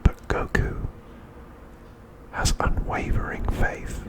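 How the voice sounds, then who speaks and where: Slow whispering man, ASMR narration. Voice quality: breathy unvoiced whisper. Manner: soft, deliberate, with frequent pauses between phrases.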